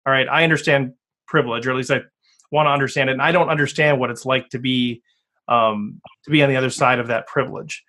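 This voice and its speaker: A man's voice: medium pitch, fairly even intonation, fast talker, sharp tone. A man hosting a podcast from Minnesota, speaking earnestly and candidly in a loose conversational way. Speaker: podcast host.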